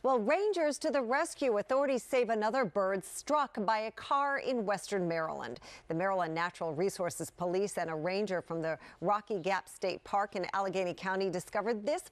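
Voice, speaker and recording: A woman reads scripted TV news as an anchor. Her voice sits at an average pitch, recorded dry in a studio with no room sound. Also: dry recording.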